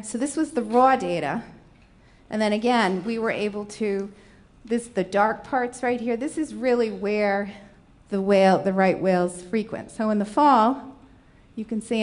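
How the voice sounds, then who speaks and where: Rhode Island woman presenting slides. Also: mid-range voice. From Rhode Island, USA. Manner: explanatory, walking through slides. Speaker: conference panelist. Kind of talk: conference presentation.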